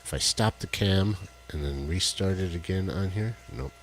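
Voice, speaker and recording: A man with a deep voice, co-hosting a casual live stream and joking as he fiddles with his tech. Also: normal speed, a little hiss or hum. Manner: rambling, jokey, fiddles with tech while talking. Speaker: co-host of a live stream show. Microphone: headset with headphones.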